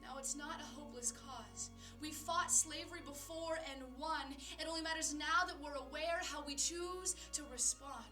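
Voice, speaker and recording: A woman performing a slam poem in an earnest, dramatic way, with rhythmic rhymed phrasing that moves between emphatic and hushed. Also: high voice, normal speed, few pauses, music in the background. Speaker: spoken word poet.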